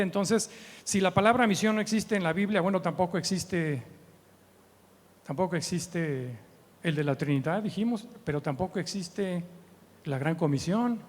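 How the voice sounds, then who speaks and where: A man in his early seventies with a Mexican accent, giving an informal, conversational church teaching with humorous anecdotes and frequent tag questions. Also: sits high. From Mexico City, Mexico. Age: early 70s. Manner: conversational, humorous, often seeks agreement with short tag questions. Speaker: guest preacher and missions trainer. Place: church meeting hall. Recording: long hall reverb from church sound.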